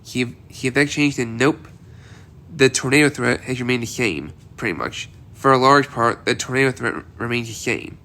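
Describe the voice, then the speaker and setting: Congested man with a bright voice. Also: medium pitch, normal speed. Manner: rambling, repeats himself with many restarts. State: congested from a cold. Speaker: weather video host. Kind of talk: casual weather outlook update.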